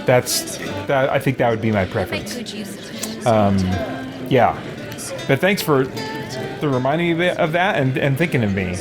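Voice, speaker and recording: A man's voice: mid-range voice, expressive, moderate pace, long pauses. A man hosting a game stream, chatting casually and reading viewer messages, thinking aloud hesitantly. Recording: guitar music behind voice.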